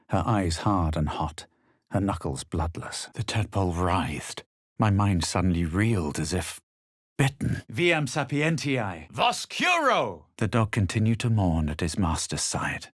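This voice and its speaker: A haughty young adventurer from a fantasy video game. His voice is on the low side and husky, recorded bone dry. He speaks in measured, literary phrases with cool self-assurance. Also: no room sound, heavily acted. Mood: forceful incanting. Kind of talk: video game character narration and barks.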